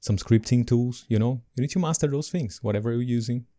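Mellow-voiced man, non-native English speaker. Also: mid-range voice, quick pace. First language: not English. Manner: animated, laughs between points. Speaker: podcast co-host. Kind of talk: casual podcast chat.

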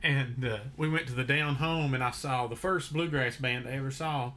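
A man hosts a casual podcast, talking loosely and piling up fillers and restarts. His voice is bright and forceful, though deeper than usual today. A faint noise sits under the recording. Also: moderate pace. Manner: relaxed, many fillers and restarts. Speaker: podcast host. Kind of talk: casual storytelling chat.

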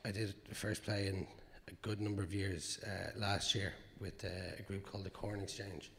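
An Irish actor with a naturally hoarse voice, answering audience questions candidly and rambling, with fillers and swearing. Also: male voice, low-pitched, flat delivery, normal speed. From Ireland. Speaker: actor guest. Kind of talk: live Q&A answers. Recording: long hall reverb.

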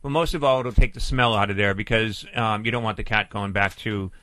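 A man's voice: on the low side, steady tone, a little fast, bright, forceful tone, no room sound. A man in his fifties hosting a pet call-in radio show, chatty and jokey, also reading his own ad. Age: 50s. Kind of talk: radio call-in talk and read ad.

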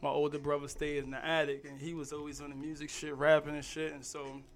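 A young man from Queens with a New York accent, a rapper chatting as a guest in slangy, animated talk. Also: a little high, quick pace. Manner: laid-back, slangy, animated storytelling. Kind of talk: casual podcast chat.

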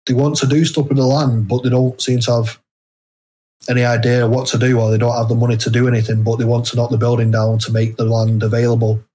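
A British man, an author being interviewed, talking casually in a halting, rambling, unassuming way. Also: mid-range voice, flat delivery, warm tone, slightly rough. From United Kingdom. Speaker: interview guest, indie horror author. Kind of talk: casual chat.

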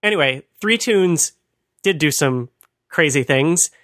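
A man's voice: on the high side, expressive, measured pace, a bit bright, no room sound. A man co-hosting a conversational talk, explaining things with dry amusement. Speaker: podcast host. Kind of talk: conversational retro computing commentary.

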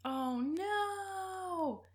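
A woman with a mixed Michigan and Upper Midwest accent, tipsy and chatting as a podcast co-host, sarcastic and quick to laugh. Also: extremely high pitch. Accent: Michigan and Upper Midwest mix. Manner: animated, laughs often, sarcastic, swears. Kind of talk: casual chat.

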